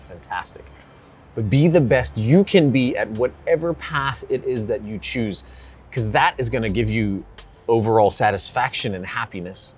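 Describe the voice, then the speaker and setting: A forty-year-old man from Hong Kong, a personal trainer, chatting in an energetic, emphatic way. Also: mid-range voice. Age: 40s. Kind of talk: casual chat.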